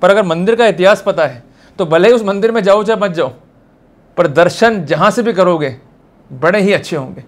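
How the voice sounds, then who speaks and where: A man's voice: high-pitched, normal speed. An Indian man giving a motivational legal lecture, exhorting the listener emphatically with rhetorical questions and English terms mixed in. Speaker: presenter of a legal lecture series, advocate.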